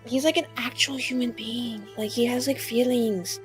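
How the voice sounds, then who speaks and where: A woman's voice: a little high, steady tone, moderate pace. A woman improvising in character in a tabletop roleplaying game, chatty and hesitant as she rambles. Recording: music behind the voice. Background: background music.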